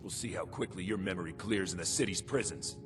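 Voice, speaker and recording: An actor playing a stern, overbearing guard captain in a fantasy video game, commanding and accusatory in manner. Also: male voice, low-pitched, a little fast, music in the background, lightly acted. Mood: outraged.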